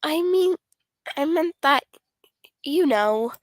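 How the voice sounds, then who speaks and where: A woman puts on a shy teenage bookworm from a visual novel. She speaks politely and hesitantly in a high voice that moves up and down a lot, close to the mic. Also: expressive, slow, dry recording. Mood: timid. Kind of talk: visual novel character read on stream.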